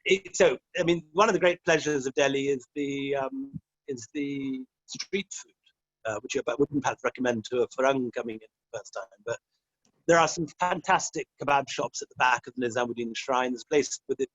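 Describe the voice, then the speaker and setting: A man's voice: mid-range voice, animated, brisk, a bit bright, no echo. A middle-aged man from Scotland talks as an interview guest, wandering off into wry, self-deprecating asides. His voice sits in a middle register. The sound is muffled and lo-fi, with a dry, close room. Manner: wry, rambling, self-deprecating, laughs often. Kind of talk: casual interview answers.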